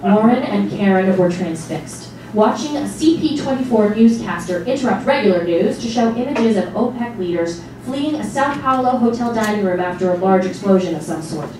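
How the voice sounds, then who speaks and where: A woman's voice: medium pitch, lively intonation, moderate pace, few pauses. Woman reading a story out on stage, really far from the mic, super echoey. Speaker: stage narrator reading a text. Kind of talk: dramatic reading of literary narration. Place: small indoor theatre stage before an audience. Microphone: distant camera microphone. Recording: echoey hall, far microphone, noisy.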